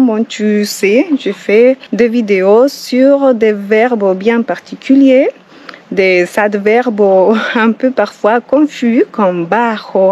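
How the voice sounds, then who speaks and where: A woman's voice: mid-range voice, a little mellow, highs cut off. A Colombian woman with a Spanish accent in French, giving a casual bilingual Spanish lesson outdoors and repeating each phrase in both languages. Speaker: Spanish teacher and channel host. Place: outdoors, by the water, barefoot.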